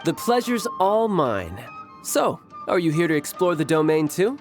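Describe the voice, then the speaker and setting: A cocky young adventurer from a video game, smooth-talking and playful. His pitch moves freely as he teases, over a faint bed of music. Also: sing-song, unhurried. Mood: smooth, feigned politeness. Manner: playful, teasing, self-assured. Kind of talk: game cutscene acting.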